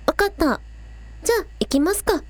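A spirited young village idol, played by a woman in a radio drama. Her voice is very high and recorded dry. She is earnest and polite, turning breezy among friends. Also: lively intonation, brisk, no echo. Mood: brisk.

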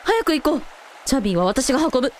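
A woman playing a brisk, protective member of an adventuring family in a radio drama. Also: high voice, very animated, slight background noise. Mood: urgent.

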